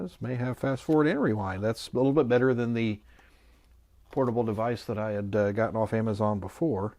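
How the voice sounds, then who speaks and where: Low-voiced man casually reviewing a gadget. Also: darkish tone, dry recording. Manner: musing, hesitant, mildly skeptical. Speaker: host of an electronics review channel. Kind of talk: casual unscripted product review, thinking aloud.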